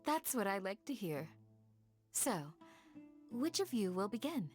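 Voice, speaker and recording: A gentle but stern village guardian in a video game, with a medium-pitched voice. The speech is formal, polite and measured, with hissy sibilants and faint music underneath. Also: female voice, sing-song. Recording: hissy home recording, faint music behind.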